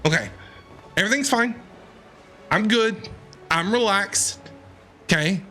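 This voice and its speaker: A man giving excited game stream commentary, exclaiming and repeating his phrases in a panicky way. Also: high voice, slow pace, faint music behind. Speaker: streamer playing and reacting to a video game.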